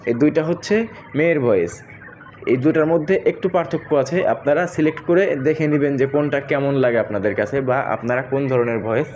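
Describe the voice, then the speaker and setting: A man with a Bangladeshi accent and a slightly high voice talks quickly through an app tutorial. He addresses viewers directly and goes over each step again as he moves along. There is faint noise behind him. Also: darkish tone. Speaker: tech tutorial host.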